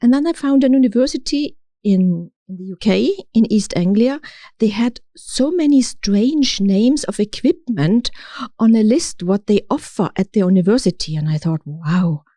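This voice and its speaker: A middle-aged woman from Austria with an Austrian accent, telling her story as an interview guest, halting now and then as she searches for words in English. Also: fairly high pitch, expressive, dark tone, no echo, clean studio sound. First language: German. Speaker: podcast guest, city official. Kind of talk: conversational interview, personal storytelling.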